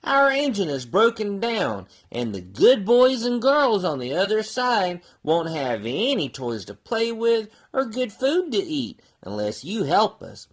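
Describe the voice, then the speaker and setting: A woman voicing small dolls and toys in a storybook, imploring with drawn-out pleas. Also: medium pitch, big pitch swings. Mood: pleading. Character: pleading little dolls and toys.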